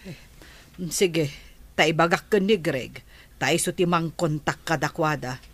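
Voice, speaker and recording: A woman's voice: sits low, unhurried. A woman playing a hesitant relative of a farming family in an Ilocano radio drama. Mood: hesitant, sympathetic.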